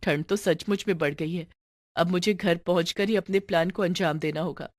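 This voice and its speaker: A woman's voice: fairly low pitch, steady tone, moderate pace, dry recording, clean studio sound, lightly acted. A woman playing a sly, lazy young daughter-in-law in a cartoon family story, wheedling and dramatically self-pitying. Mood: scheming.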